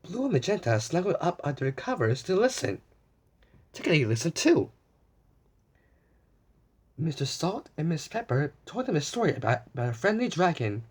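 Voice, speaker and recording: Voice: medium pitch, big pitch swings, moderate pace, long pauses, breathy edge. A story time host reading a storybook aloud to young children, with exclamations, asides and repeated phrases.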